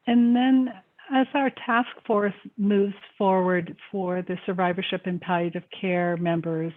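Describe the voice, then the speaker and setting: A woman moderating a webinar, reading audience questions and adding comments in a steady, measured way. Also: mid-range voice. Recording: narrow phone-like online call audio.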